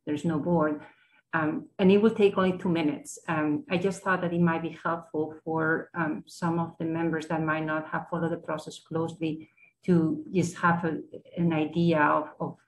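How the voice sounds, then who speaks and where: Female board member, slightly low and monotone, tentative, courteous, on a video call. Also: poor audio. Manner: tentative, polite, halting. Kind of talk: formal meeting discussion.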